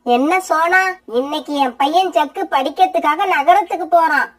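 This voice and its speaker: A woman's voice: high voice, normal speed, lightly acted. A woman voicing a gossiping, mocking female crow neighbor in a cartoon, speaking in a scolding, condescending way. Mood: nosy.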